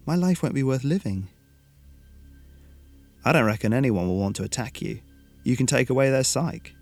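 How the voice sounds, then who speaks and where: A man's voice: medium pitch, lively intonation, moderate pace, darkish tone, soft background music, pitched above the performer's own voice. A man voicing a loyal, frank teenage boy, an easygoing mate, in a story. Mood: uneasy.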